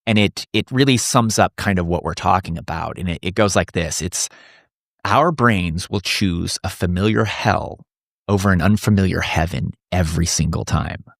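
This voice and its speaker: A man in his forties talks with a fairly low voice in a relaxed podcast conversation. He is earnest and reflective, often restarting his sentences as he thinks. The sound is close and dry, with no room echo. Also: moderate pace, creaky. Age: 40s. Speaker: men's coach, podcast guest.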